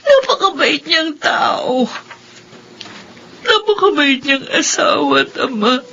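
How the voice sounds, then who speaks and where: A woman's voice: sits high, sing-song, slow pace, bright, forceful tone, full character voice. A woman playing a devoted wife who tearfully pleads and prays for her injured husband. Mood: praying. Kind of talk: Filipino radio drama acting. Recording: lo-fi radio recording with music.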